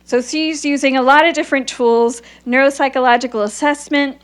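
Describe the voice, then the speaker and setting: A woman's voice: high-pitched, fairly even intonation, brisk. Upbeat woman introducing a speaker. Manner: enthusiastic, warm, with frequent "uh"s. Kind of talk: formal introduction at a lecture event.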